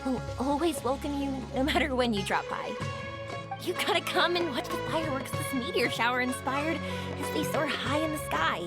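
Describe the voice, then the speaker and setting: A woman playing a bubbly, warm-hearted young woman in a video game, speaking in an exuberant, expressive way. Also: high voice, normal speed, close to an everyday voice. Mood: affectionate. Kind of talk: video game character acting. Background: game music.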